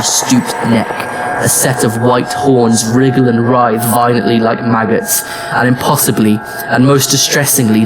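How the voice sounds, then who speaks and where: A man's voice: medium pitch, natural voice, poor audio. A man acting as a haunted immortal who narrates his own tale in an audio drama. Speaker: narrator and lead actor.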